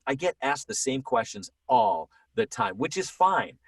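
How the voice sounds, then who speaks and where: A man in his thirties with a Boston accent chats on a podcast, telling stories with animation and slipping quickly in and out of voices. His voice is husky and mid-pitched. He sounds distant from the microphone in a dry room. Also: dry recording. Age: 30s. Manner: animated storytelling, quick voice switches. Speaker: guest voice actor. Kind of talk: casual podcast chat.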